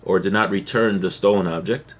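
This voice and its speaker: A man's voice: deep voice, fairly even intonation, a little fast. A man giving an informal religious lesson as a teacher, explaining a text with everyday examples.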